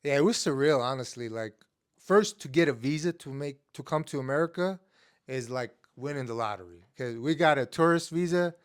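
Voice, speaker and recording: Guest spot: young man with Armenian as his first language, smooth clear voice, mid-range pitch, easygoing storyteller who peppers his talk with "uh" and "like". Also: fairly quick. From Estonia. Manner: relaxed, many fillers, acts out his younger self with rising excitement. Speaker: guest, MMA fighter. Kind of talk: casual interview storytelling.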